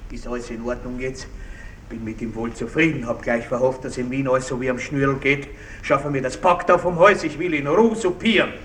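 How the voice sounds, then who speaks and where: An actor playing a blustering, indignant country baron in a theatrical German radio play, pompous in manner. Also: male voice, mid-range voice, brisk, fairly bright tone, natural voice. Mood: haughty, composed. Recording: slightly distant, hissy 1960s radio recording.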